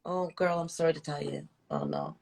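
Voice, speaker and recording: A woman's voice: deep voice, big pitch swings, a little fast, gravelly, darkish tone. A middle-aged woman, interviewing casually on a livestream and slipping into patois. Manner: chatty, slips into patois. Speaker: interviewer and live show host. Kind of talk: casual live interview chat. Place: livestream video call.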